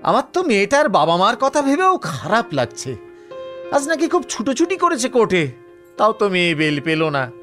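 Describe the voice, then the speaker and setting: An actor playing a talkative, smug police officer in a Bengali audio story, speaking colloquially with scoffing rhetorical tags. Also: male voice, high-pitched, wildly swooping pitch, moderate pace, a bit bright, soft background music, heavily acted. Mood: pitying. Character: Anishchay, talkative cocksure male police officer, smug and sardonic.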